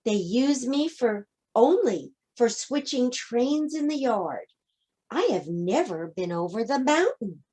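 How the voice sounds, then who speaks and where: A woman's voice: on the high side, animated, slow, darkish tone. A woman voicing a small, kind female train engine from a storybook, humble and earnest in manner. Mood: modest, unsure.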